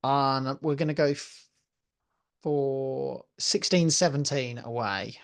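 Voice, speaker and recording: A man with an English accent co-hosting a casual football podcast, speaking in a relaxed, conversational way. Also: mid-range voice, slow, gravelly. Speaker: podcast co-host.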